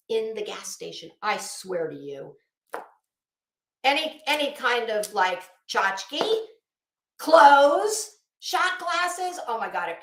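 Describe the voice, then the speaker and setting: High-voiced sardonic woman ranting. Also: a bit bright. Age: middle-aged. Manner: sardonic, scornful, profane. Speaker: online commentary vlogger. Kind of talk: unscripted rant, casual commentary. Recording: echoey room.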